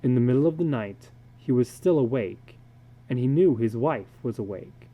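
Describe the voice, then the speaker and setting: A man giving a measured, deliberate dramatic reading of a literary story as its narrator. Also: medium pitch, expressive, husky, narrow, tinny audio.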